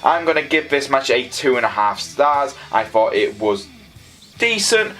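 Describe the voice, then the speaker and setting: A man's voice: mid-range voice, big pitch swings, a little slow, fairly bright tone. Yorkshire man reviewing over music. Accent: Yorkshire English. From Leeds, England. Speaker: wrestling show reviewer. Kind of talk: casual scripted-free review commentary.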